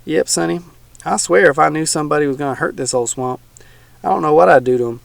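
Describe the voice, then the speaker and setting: A man doing a gruff old swamp hermit. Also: on the high side, quick pace, no room sound, voice pushed up. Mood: menacing. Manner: folksy backwoods drawl, growling.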